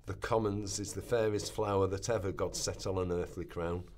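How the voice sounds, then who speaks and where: Man playing a low-voiced, fervent medieval preacher. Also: fairly even intonation, moderate pace, a little mellow, close to an everyday voice. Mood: grave, fervent. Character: fervent medieval rebel preacher exhorting the common people. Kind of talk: declaimed sermon and verse in a radio play rehearsal.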